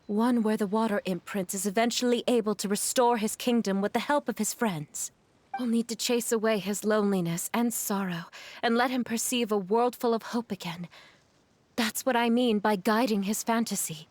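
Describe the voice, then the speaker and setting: A gentle mother character from a video game, acted by a woman, with a somewhat high voice. She is sorrowful yet resolute and speaks with measured, earnest care. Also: a little hiss or hum, lightly acted.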